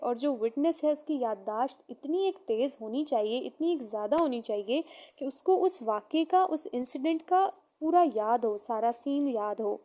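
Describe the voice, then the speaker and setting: High-pitched, mellow Pakistani woman lecturer. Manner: didactic, switches between Urdu and English. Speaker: law lecturer. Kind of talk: explanatory law lecture, reading points then explaining.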